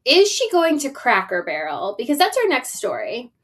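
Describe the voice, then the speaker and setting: A woman's voice: sits high, moderate pace. Woman podcast co-host, chatty and emphatic. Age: 40s. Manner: animated storytelling with rhetorical questions and emphatic asides. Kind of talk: casual conversational business news chat.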